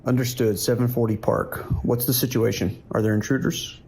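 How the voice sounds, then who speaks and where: An actor playing a weary, steady New York police dispatcher in an audio drama, speaking in a measured, procedural way. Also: male voice, medium pitch, husky. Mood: calm, procedural.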